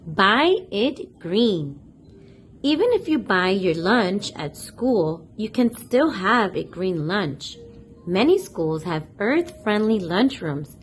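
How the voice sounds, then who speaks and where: A woman reading a picture book aloud for young children, speaking deliberately and addressing her listeners directly. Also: medium pitch, sing-song, faint music behind. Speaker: host of a children's read-aloud channel.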